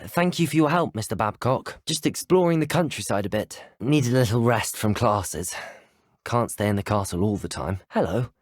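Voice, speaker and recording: A man's voice: lowish voice, moderate pace, dry recording, studio quality, lightly acted. A young man playing a polite, inquisitive teenage student wizard in a video game, speaking in formal, well-mannered phrases. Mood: courteous. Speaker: video game player character. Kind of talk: scripted video game voice lines.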